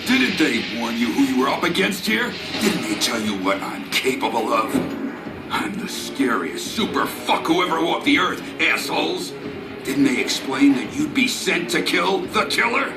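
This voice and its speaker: A man's voice: medium pitch, very animated, normal speed, gravelly. An actor playing a cocky, menacing, foul-mouthed hitman in a motion comic, with swaggering, profane delivery. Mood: furious, boastful. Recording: roomy sound under loud music.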